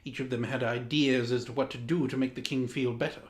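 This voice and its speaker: A man playing a pompous Georgian lord, reading a children's storybook with dramatic, deliberate diction. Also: mid-range voice, steady tone, natural voice. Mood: arch, indignant. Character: pompous Georgian English lord reading a mad royal tale. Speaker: storyteller, host in the guise of a lord. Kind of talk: theatrical children's storybook narration. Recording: roomy echo, music underneath.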